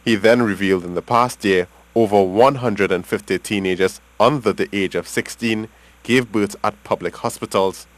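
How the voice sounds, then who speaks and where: A man's voice: lowish voice, normal speed, low-quality recording. A man with a Trinidadian accent narrating a scripted television news report.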